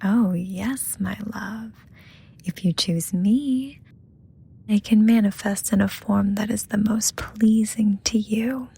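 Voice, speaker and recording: A woman's voice: mid-range voice, steady tone, takes it slowly, vocal fry, mellow, breathy edge, full character voice. A woman voicing a seductive, possessive lust demon in a romantic ASMR roleplay, smug and teasing, often dropping into whispers. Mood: coaxing, intimate.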